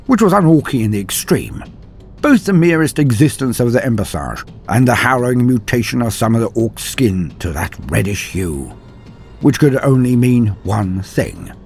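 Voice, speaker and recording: A man's voice: mid-range voice, darkish tone. A man narrating a comedic mock-epic fantasy tale in a theatrical, wry, mock-solemn way, with dramatic emphasis and dry asides. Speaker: storyteller and channel narrator.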